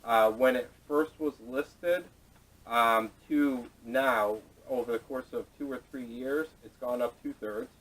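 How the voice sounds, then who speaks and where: A man's voice comes from a distance, caught on a camera microphone with poor sound. He talks casually as a podcast host, laying out his points in a measured, explanatory way at a middling pitch. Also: fairly even intonation, fairly bright tone. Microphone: camera audio, away from speaker.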